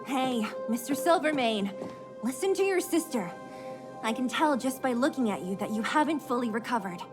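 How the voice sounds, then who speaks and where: Woman acting a tough blunt game girl, high voice, watchful, music behind her. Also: animated, normal speed, natural voice. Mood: blunt, chiding. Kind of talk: English game voice acting, cutscene dialogue.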